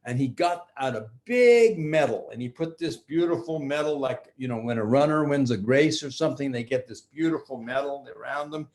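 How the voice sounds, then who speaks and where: An older man, a grandfather, improvising a bedtime story for children in simple, repetitive phrases with drawn-out words. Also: mid-range voice, a little mellow.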